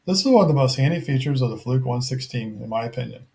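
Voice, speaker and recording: A middle-aged man narrating a scripted technical product review in a measured, methodical, matter-of-fact way. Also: mid-range voice, expressive, creaky, a little mellow.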